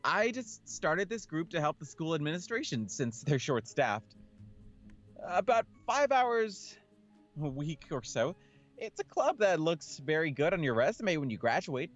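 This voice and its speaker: A man's voice: a little high, big pitch swings, a little slow, muffled recording, voice pushed up. A man voicing a friendly, persuasive female student club organiser in a visual novel. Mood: earnest, polite. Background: background game music.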